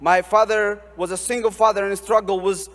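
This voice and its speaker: A young man giving an earnest, measured commencement speech as a student speaker in a large ceremony hall. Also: sits high, level tone, fairly bright tone. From Oromia, Ethiopia. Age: young adult. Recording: long hall reverb.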